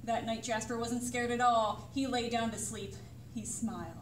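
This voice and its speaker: A woman reading a picture book aloud to children as a librarian, with dramatic, suspenseful delivery. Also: on the high side. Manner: expressive, suspenseful pauses and sudden exclamations. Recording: roomy echo, distant mic, faint noise.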